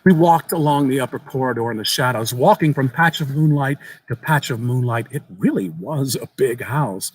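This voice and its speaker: A man giving a measured, suspenseful dramatic reading of a horror short story. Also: medium pitch, husky, poor audio. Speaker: story reader at a live event.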